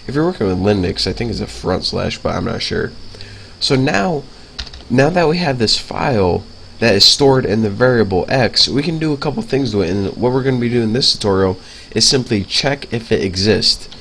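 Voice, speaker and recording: A man's voice: fairly low pitch, expressive, normal speed, gravelly, fairly bright tone, a little hiss or hum. A young man with a stuffy nose from a cold, casually talking through a programming lesson as he types, with lots of fillers. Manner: casual, filler-heavy, talks while typing. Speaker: programming tutor. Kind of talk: casual screencast coding lesson.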